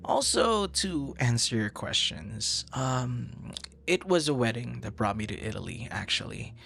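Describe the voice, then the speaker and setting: A man's voice: mid-range voice, sing-song, unhurried, gravelly, fairly bright tone, full character voice. A man performing an audio roleplay as a friendly, easily flustered young traveler chatting intimately and casually with a stranger, teasing and stammering playfully. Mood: chatty, lightly flustered. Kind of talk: intimate boyfriend-style ASMR roleplay, casual conversation.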